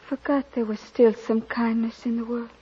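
A gentle, poor young woman in a radio drama. Her earnest voice is very high. The vintage recording sounds dull. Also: flat delivery, a little fast, slightly rough. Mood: sad, grateful. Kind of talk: old-time radio drama acting.

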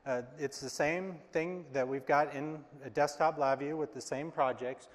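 Relaxed man presenting on a ringing stage. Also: fairly high pitch, moderate pace. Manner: relaxed, many fillers. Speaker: IT engineer presenting. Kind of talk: live stage product demo. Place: large stage, hall. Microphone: lapel mic. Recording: reverberant hall.

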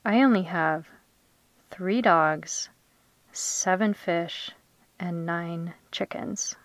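A woman poet reading her verse aloud in a measured, deliberate way with dramatic pauses. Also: on the low side, dry recording, rough recording.

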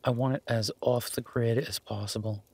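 A man playing a shy, gentlemanly boyfriend in an intimate romantic audio roleplay, speaking softly and haltingly with gentle teasing. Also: mid-range voice, fairly even intonation, gravelly, husky. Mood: wry, relaxed. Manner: hesitant, soft-spoken, gently teasing.

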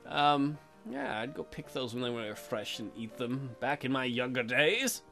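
Male gaming host, bright and animated, profane joker, light music underneath. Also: medium pitch, a little slow. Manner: crude joking, profane. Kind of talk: let's play commentary, reading dialogue aloud.

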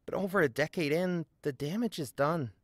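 A man narrating a scripted video essay in a deliberate, argumentative way, posing rhetorical questions. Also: on the high side, no room sound, clean studio sound.

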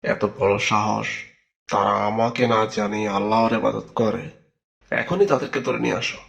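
A man's voice: on the low side, steady tone, normal speed, vocal fry. A man voicing a proud, wrathful tyrant king who commands imperiously in a cartoon. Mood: outraged, menacing. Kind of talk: cartoon voice acting.

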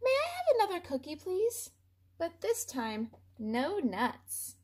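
A woman's voice: extremely high pitch, sing-song, slow pace, a little mellow, pitched above the performer's own voice, heavily acted. A woman voicing a small, sweet young duckling from a picture book, speaking politely and innocently.

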